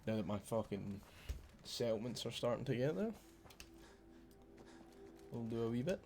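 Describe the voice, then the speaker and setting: A man's voice: medium pitch, expressive, normal speed, gravelly, a little mellow, faint music behind. A man with a Scottish accent streaming a video game, chatting casually, swearing freely and bantering with his viewers. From Scotland. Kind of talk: casual gameplay commentary.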